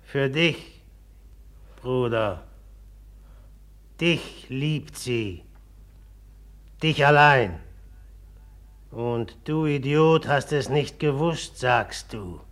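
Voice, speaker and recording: A brooding, menacing rival. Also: male voice, mid-range voice, animated, a bit bright. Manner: slow, menacing, mocking. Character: brooding, jealous young man with a knife. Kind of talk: 1950s radio play acting.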